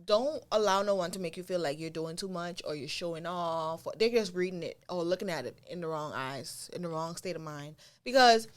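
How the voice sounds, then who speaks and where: A woman's voice: on the low side, fairly quick, few pauses. A young woman with a Caribbean accent, chatting casually as a podcast co-host in a blunt, emphatic, slangy way. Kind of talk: casual chat.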